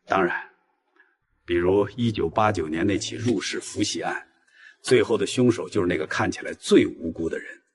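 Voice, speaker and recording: An actor playing a seasoned old male detective near retirement, teaching with assurance, in an animated drama. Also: low-pitched, normal speed, a little hiss or hum, lightly acted. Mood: assured, instructive. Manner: assured, instructive. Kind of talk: Chinese animated audio drama acting.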